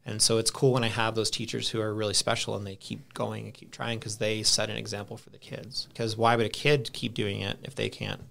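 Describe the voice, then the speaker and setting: A man's voice: fairly low pitch, creaky, pushed and bright. A man in his thirties, a podcast guest, chatting casually in an easygoing, rambling way and laughing at himself. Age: 30s. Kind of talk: casual chat.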